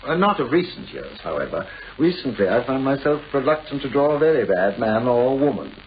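Radio play novelist, played by a man: British, fussy, anxious, formal and hesitant, with very lively intonation, on a dull, hissy old recording. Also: mid-range voice, brisk, lightly acted. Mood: confiding, troubled. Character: anxious, mannered male novelist, fussy and self-absorbed.

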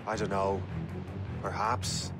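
Young assassin, brooding, restless, video game dialogue, clear music behind. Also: male voice, low-pitched, level tone, slow pace. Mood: gloomy, unsure.

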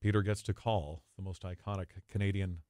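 A man with a very deep voice talks emphatically and with feeling. He is an arena announcer who is a guest on a podcast. The recording is dry and close. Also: fairly even intonation, fairly quick, darkish tone, no room sound. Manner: effusive, emphatic.

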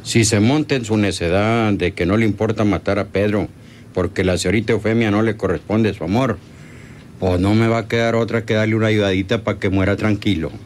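An actor playing a scheming, stubborn hacienda henchman with a rural Mexican accent, deliberate and emphatic in a radio drama. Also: fairly low pitch, steady tone, a little hiss or hum, natural voice. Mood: cold, coaxing. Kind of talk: radionovela acting.

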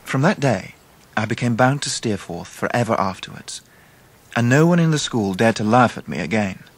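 An English actor playing a grown man recalling his schooldays, narrating a radio drama in a measured, literary, reflective way. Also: mid-range voice, animated. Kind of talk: scripted first-person narration in radio drama.

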